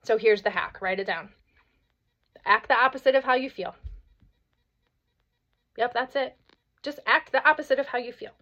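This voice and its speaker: Hoarse, chatty woman podcast host. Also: a little high, moderate pace, long pauses. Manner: energetic, chatty, peppered with "right?" and "like". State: hoarse from a cold. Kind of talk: motivational solo podcast talk. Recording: slightly dull lo-fi audio.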